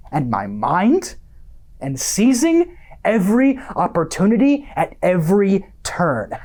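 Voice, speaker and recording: An actor playing a smug, self-satisfied content creator in a radio monologue, condescending and theatrical, with deliberate pauses. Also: medium pitch, darkish tone, heavily acted. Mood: self-satisfied, preachy.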